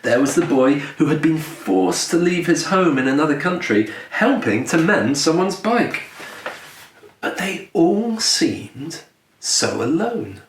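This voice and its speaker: A man who is a children's author reading his picture book aloud in a gentle storytelling manner with deliberate dramatic pauses. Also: on the high side, expressive. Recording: roomy echo, distant mic, faint noise.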